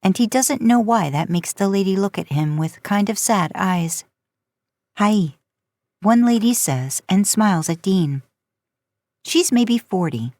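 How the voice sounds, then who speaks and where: A woman's voice: mid-range voice, darkish tone, no echo. A woman reading a story aloud in audiobook-style narration. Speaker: podcast host and fan fiction narrator.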